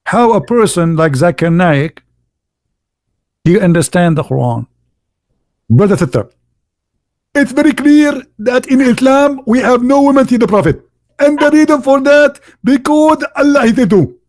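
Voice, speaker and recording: A man's voice: extremely high pitch, lively intonation, a little slow, long pauses, darkish tone. A man with an Arabic accent, a native Arabic speaker, hosting a live stream and arguing heatedly in mocking, emphatic English with non-native grammar. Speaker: live-stream host debating callers. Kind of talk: heated religious debate talk.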